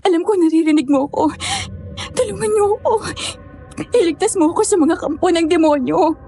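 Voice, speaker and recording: A woman's voice: extremely high pitch, a little fast, mellow. A woman playing a terrified but defiant young captive in a horror story, crying out and pleading tearfully.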